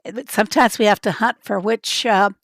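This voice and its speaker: Raspy-voiced woman with a summer cold. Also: mid-range voice, steady tone, normal speed, no room sound, studio quality. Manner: chatty, rambling, reads out chat names. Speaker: livestream host. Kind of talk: casual livestream chat.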